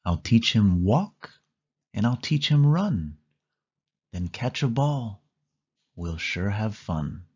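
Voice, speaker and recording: A man reading a children's picture book aloud in a slow, sing-song storytelling manner. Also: fairly low pitch, sing-song, darkish tone, breathy edge, muffled recording. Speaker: storybook reader. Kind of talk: children's read-along narration.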